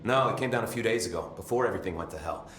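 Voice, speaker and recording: An actor playing a chatty, nervy, loyal maintenance security chief in a video game, rambling informally with wry swearing. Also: male voice, lowish voice, animated, rapid-fire, a bit bright, echoey room, slight background noise. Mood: wry, urgent.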